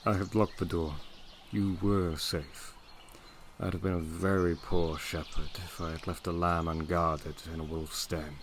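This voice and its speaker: An actor playing a brooding, commanding master of a gothic manor in a period audio drama, intense and imperious in manner. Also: male voice, deep voice, level tone, a little slow, a little hiss or hum. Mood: gently protective.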